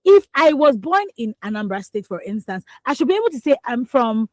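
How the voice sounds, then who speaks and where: A woman's voice: on the high side, a little fast, no room sound. A young Nigerian woman co-hosting a radio newspaper review, reading headlines and then giving emphatic, exclamatory opinions. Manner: animated, emphatic, exclamatory, laughs between points. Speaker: radio news review co-host. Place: radio studio.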